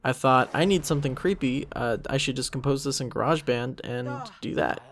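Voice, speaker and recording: A man's voice: medium pitch, fairly quick. Rambling young man, casual commentary. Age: young adult. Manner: rambling, self-critical, trailing off mid-thought. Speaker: creator of an animated parody series.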